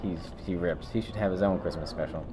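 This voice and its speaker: Deep-voiced man over clear background noise. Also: quick pace, mellow. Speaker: podcast co-host. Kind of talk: casual chat.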